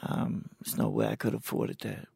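A man in his sixties, talking plainly and haltingly about his own experience in a recorded conversation. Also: deep voice, very animated, a little mellow, no room sound, studio quality. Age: 60s. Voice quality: gravelly, husky. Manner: plain, halting, unhurried storytelling. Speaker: interviewee. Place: indoors at a cultural center.

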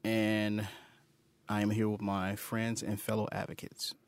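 A man's voice: lowish voice, measured pace, dry recording. A man in his thirties giving a plain, short introduction of himself. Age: 30s. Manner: plain, flat self-introduction. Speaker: advocate. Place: indoors at a cultural center.

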